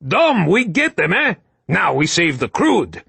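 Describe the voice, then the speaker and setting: A man putting on an urgent soldier who bellows his commands high and hard, as if across a battlefield. The voice stays husky and close, with a dry, deadened sound around it. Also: sing-song, unhurried, pushed and bright, dry recording, full character voice. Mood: urgent shouting. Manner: bellowing orders over the din. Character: shouting male allied soldier, urgent commander.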